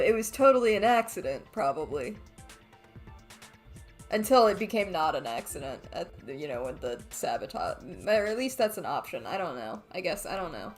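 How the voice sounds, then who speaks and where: Female let's play host, casual, thinking aloud, expressive pitch, faint music underneath. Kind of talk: casual game commentary.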